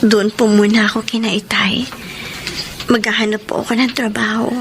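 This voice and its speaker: A woman's voice: mid-range voice, level tone, moderate pace, music in the background, lightly acted. A woman playing a remorseful, pregnant young wife in a sentimental radio drama, earnest and pleading. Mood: subdued, remorseful.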